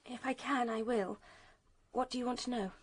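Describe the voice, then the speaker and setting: A composed, direct daughter in a radio murder mystery. Her voice is fairly high with a husky edge, and she speaks plainly. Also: fairly even intonation, moderate pace. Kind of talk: radio drama acting.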